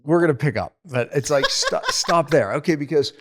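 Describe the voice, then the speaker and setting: A man's voice: fairly high pitch, expressive, moderate pace, a bit bright, a little hiss or hum. A man hosting an interview podcast, recapping his guest's points and prompting her with short questions. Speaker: podcast host. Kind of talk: conversational interview.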